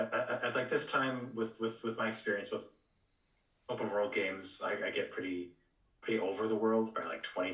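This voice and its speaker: Halting low-voiced man, distant and echoey. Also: bright, forceful tone. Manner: halting, repeats words, tentative. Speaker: podcast co-host. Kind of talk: casual video game discussion. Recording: roomy echo, distant from mic.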